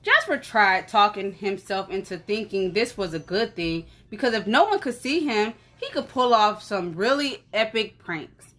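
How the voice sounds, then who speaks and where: A woman reading a story aloud in an animated, conversational way, as if for children. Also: mid-range voice, normal speed, hardly any pauses. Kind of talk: expressive children's story narration.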